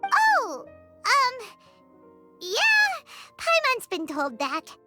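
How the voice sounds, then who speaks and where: A woman voicing a small, chirpy, curious fairy girl companion in a video game, with a squeaky childlike voice. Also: wildly swooping pitch, very slow, pushed and bright, faint music behind, exaggerated voice. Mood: hesitant, earnest. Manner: refers to self in third person, exclamatory. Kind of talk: video game character dialogue.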